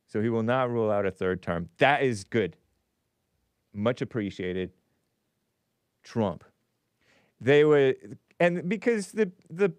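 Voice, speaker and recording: A man's voice: a little high, clean tone, no echo, clean studio sound. A man hosting a call-in talk show, rambling through the news with opinions and frequent restarts. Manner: rambling, opinionated, self-interrupting. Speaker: host. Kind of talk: casual talk radio monologue.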